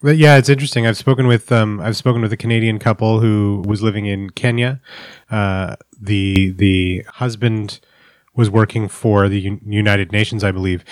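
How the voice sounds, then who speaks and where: A man's voice: lowish voice, steady tone, moderate pace, no echo. A man hosting a casual interview who talks thoughtfully and hesitantly, often correcting himself. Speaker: host, interviewer.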